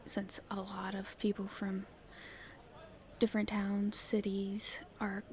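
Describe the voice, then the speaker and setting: Casting: a woman to play a scrappy, cheeky young female street thief for ASMR roleplay; slow, halting, casual delivery, mid-range pitch. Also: monotone, natural voice. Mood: hesitant, evasive. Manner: casual, halting speech with hesitations. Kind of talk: ASMR roleplay, casual in-character chat to listener.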